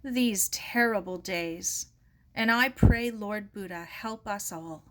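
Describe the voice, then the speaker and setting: Woman voicing a humble immigrant man, halting. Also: medium pitch, natural voice, low-quality recording. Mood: sorrowful, subdued. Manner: broken English, halting and polite. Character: gentle, humble older Japanese immigrant man, sorrowful.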